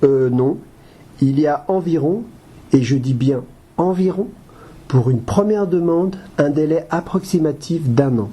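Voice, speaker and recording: Man playing a courteous adviser, dark mellow timbre, husky, mid pitch, formal, explanatory, audio drama. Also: expressive, measured pace. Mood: courteous, informative. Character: courteous, patient male guide-dog association adviser. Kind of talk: amateur audio drama acting.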